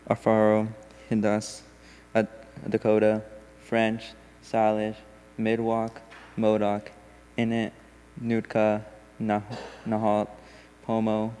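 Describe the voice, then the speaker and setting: A man with a low, smooth and resonant voice reads a poem aloud in a church service. His recitation is steady and deliberate. Long reverb from the church hall trails after each line. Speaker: congregation member reading a poem. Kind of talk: measured poetry reading in a church service. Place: church sanctuary.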